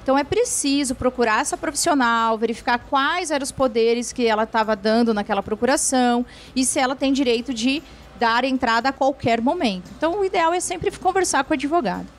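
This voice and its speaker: Lady lawyer w a high voice answering viewer questions on tv, keeps tagging "right?" and restarting. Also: a little fast, hardly any pauses, slight background noise. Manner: explanatory, frequent tag questions and restarts. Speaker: lawyer, guest expert.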